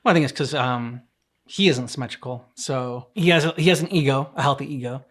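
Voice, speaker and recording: A man co-hosting a reaction video, chatting casually with a husky voice. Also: medium pitch, fairly quick, fairly bright tone. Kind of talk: casual chat, short reactions.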